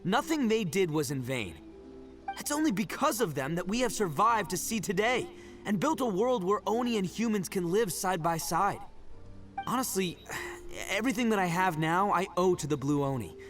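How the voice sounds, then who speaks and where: A man's voice: sits high, lively intonation, normal speed. An actor playing a brash, boastful young male oni gang leader who laughs often and talks in slang, in a video game. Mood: earnest. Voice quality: loud, rowdy and cheerful. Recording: game audio with music behind.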